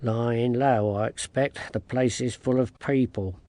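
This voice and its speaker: A narrator as a dry, slow-talking storybook toad. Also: medium pitch, fairly even intonation, dark tone, no room sound, lightly acted. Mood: dry warning. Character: wary, dry toad advisor, male, storybook creature.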